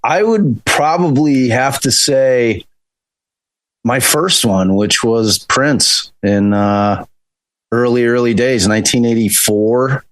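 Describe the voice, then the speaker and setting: A man in his fifties, a defense attorney, answering interview questions in a relaxed, chatty way with frequent restarts. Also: mid-range voice, slow pace, dry recording. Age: 50s. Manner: relaxed, chatty, repeats words when starting a thought. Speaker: criminal defense attorney, podcast co-host.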